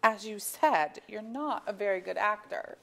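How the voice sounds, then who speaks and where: A woman's voice: mid-range voice, a little slow, vocal fry, fairly bright tone. A woman playing a sensible, dryly teasing middle-aged suburban wife in a staged play reading. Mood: matter-of-fact.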